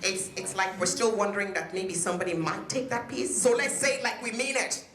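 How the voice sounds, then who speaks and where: A woman's voice: medium pitch, fairly quick, a crowd in the background. A woman from Nigeria giving an emphatic motivational talk to an audience at a peace event, drawing them in with questions. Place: hotel conference room. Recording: echoey conference room.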